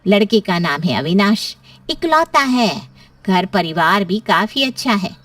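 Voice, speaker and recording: A woman's voice: medium pitch, big pitch swings, unhurried, fairly bright tone, dry recording, distant mic. A woman playing a reassuring, persuasive middle-aged aunt in story dialogue, emphatic and coaxing.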